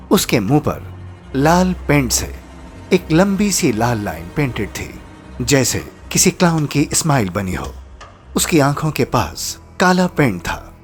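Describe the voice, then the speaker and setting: A man narrating a scripted horror story in a suspenseful storytelling style. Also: mid-range voice. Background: background music.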